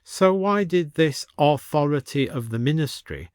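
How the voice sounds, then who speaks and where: Guy playing a british antique shop bloke, baffled and indignant, pitch goes up, audiobook, dry. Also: animated, a little slow, clear, resonant tone, no room sound. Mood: puzzled. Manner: questioning, exclaiming. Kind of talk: audiobook character voice.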